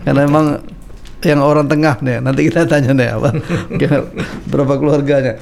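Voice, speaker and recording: A man's voice: mid-range voice, steady tone, fairly quick, gravelly, a little mellow. A man hosting a casual radio interview, chatty and loose with fillers, a bit stuffy from a cold. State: slightly congested with a cold. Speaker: radio talk show host. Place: radio studio.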